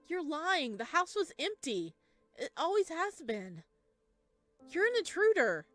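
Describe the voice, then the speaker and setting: A frightened, indignant visitor from a horror game, acted out by a woman, pitched high and agitated. The lines come sharp and accusing, with music underneath. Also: sing-song, a little slow, full character voice. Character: frightened, indignant visitor trapped in a decaying house.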